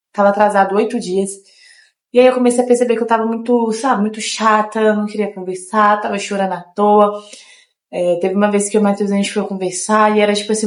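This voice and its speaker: A young woman from Brazil chatting casually to her viewers, a little nasal from a cold and often repeating herself. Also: mid-range voice. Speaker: vlogger. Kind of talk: casual chat to camera. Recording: echoey room with faint guitar music.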